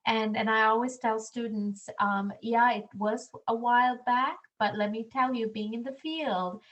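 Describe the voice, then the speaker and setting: A woman's voice: a little high, fairly even intonation, unhurried, fairly bright tone, dull audio. A woman from Sri Lanka, an international programs director, presenting a webinar in a friendly way with many "um"s. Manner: friendly, filler-heavy speech with many "um"s.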